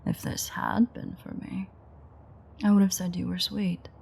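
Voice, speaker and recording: A playful, dangerous vampire woman, performed by an actress murmurs in an intimate audio drama. Her voice is dark and very husky, with a gravelly creak. She teases wryly, often dropping close to a whisper. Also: mid-range voice, little pitch movement, measured pace. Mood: mock-sweet, teasing. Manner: teasing, wry, murmured. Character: teasing, playful ancient female vampire, flirtatiously menacing.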